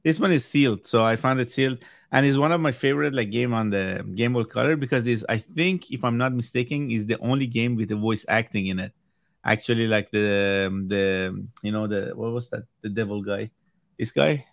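A man in his thirties whose first language is Farsi, a game collector chatting as a podcast guest over a video call, halting and full of fillers and restarts. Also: mid-range voice, creaky, no echo, studio quality. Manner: hesitant, many fillers and restarts. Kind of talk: casual chat.

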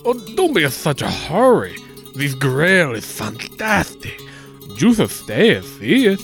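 A man's voice: on the high side, huge pitch swings, unhurried, vocal fry, faint music behind, heavily acted. A man playing a creepy, lecherous monastery priest with an oily, suggestive delivery in a radio play. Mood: pleading. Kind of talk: 1940s-style radio play acting.

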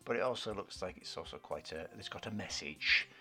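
A man co-hosting a podcast, chatting casually about film trailers in a husky voice, rambling and searching for words. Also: low-pitched, brisk, pushed and bright, soft background music. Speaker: podcast co-host.

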